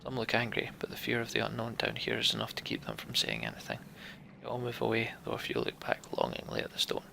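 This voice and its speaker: Man, game narrator, very gravelly voice, lively pitch, steady hiss behind. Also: fast. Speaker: let's play narrator. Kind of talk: voiced game reading and commentary.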